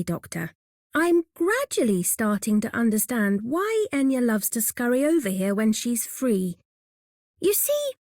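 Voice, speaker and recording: High lilting refined, playful lady. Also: a little mellow, no echo, studio quality. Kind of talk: scripted game character voice lines.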